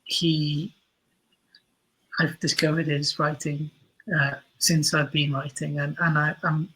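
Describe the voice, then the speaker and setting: Bright, husky man with a flat delivery. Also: a little high, slow, low-quality recording. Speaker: podcast guest, audio drama writer. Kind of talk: casual interview answers.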